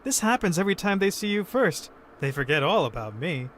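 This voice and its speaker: High-voiced, easygoing young man. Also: big pitch swings, brisk, a bit bright, a little hiss or hum. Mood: apologetic. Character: friendly, easygoing young man, upbeat with children. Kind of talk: visual novel game voice acting.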